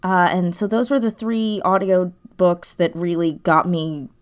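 Slightly low-voiced young woman chatting. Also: a little slow, clean tone. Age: 20s. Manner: enthusiastic, informal. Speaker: interview guest, audio drama creator. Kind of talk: casual interview chat.

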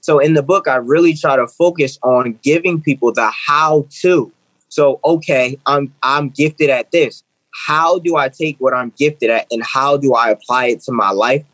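A man's voice: mid-range voice, normal speed, a bit bright, dry recording, poor audio. A man in his twenties, a guest author and speaker, talking earnestly in an interview and often repeating phrases as he builds a point. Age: 20s. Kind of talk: interview conversation.

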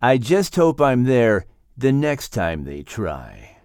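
A man voicing a loyal, wary animal in a children's story, speaking gravely and deliberately. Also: medium pitch, huge pitch swings, darkish tone, no echo, clean studio sound, exaggerated voice. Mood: grave, suspicious. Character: loyal, solemn, wary male animal friend.

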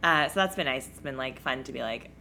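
A woman co-hosting a podcast, chatting casually with a voice raspy from a cough, laughing often as she tells stories. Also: a bass voice, fast, pushed and bright, a little hiss or hum. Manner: laughs often, loose storytelling with many fillers. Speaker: podcast co-host. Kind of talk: casual chat.

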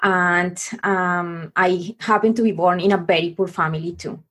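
A middle-aged woman from Colombia, telling her life story as a podcast guest in an earnest, halting way with many restarts. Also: fairly low pitch, steady tone, a bit bright, breathy edge.

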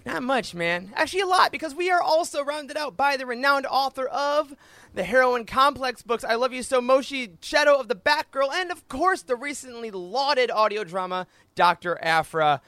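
A man's voice: very high-pitched, animated, normal speed, pushed and bright, no echo. Effusive man hosting a podcast, dry sound. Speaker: podcast host. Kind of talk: casual upbeat interview chat.

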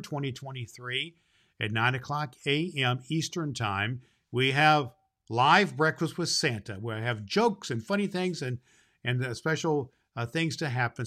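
A man's voice: mid-range voice, fairly bright tone, natural voice. A man playing a jolly, kindly Santa Claus hosting a children's holiday show, folksy and rambling, repeating phrases for emphasis. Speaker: host.

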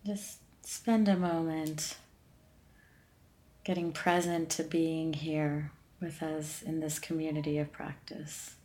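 A woman leading a guided meditation in a calm, soothing, unhurried way, leaving long pauses between gentle instructions. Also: low voice. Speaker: meditation podcast host and guide.

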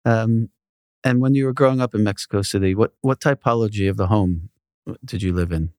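Very deep-voiced male interviewer. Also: fairly quick, darkish tone, clean tone, dry recording, clean studio sound. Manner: brief affirming interjections, reads numbered questions. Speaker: podcast host. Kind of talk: question-and-answer interview.

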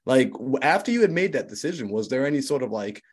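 A man hosts a casual interview with a lively, swinging pitch. He asks loose, probing questions, stringing them together with "like" as he thinks aloud. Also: fast, breathy edge.

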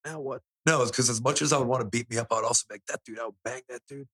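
Young man, quick-talking, rough husky voice, cocky teasing podcast co-host. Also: mid-range voice, steady tone, a bit bright. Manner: cocky, teasing, swears freely. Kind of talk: casual joking banter.

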